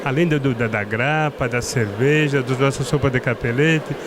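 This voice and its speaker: A middle-aged man from southern Brazil with a strong Italian-tinged accent, speaking as a winemaker guest in a halting way, often restarting his words. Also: medium pitch. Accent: Italian-tinged Brazilian Portuguese. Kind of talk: casual interview at a trade fair. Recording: noisy trade fair ambience.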